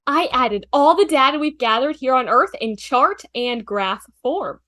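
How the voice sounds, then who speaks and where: A woman's voice: high voice, expressive, a little slow, sharp tone, full character voice. A woman playing a cheerful, helpful female assistant in a sitcom table read.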